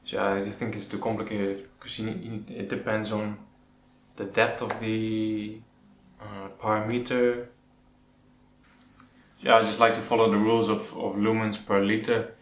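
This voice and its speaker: A man's voice: lowish voice, little pitch movement, normal speed. A man from the Netherlands with a Dutch accent, talking casually and a little hesitantly as he answers questions as a channel host. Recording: roomy echo with background noise.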